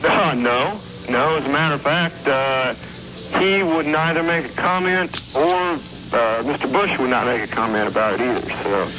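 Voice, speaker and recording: Halting male caller on noisy phone. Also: medium pitch. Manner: hesitant, halting. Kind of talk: casual call-in chat. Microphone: telephone. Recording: noisy phone call on AM radio.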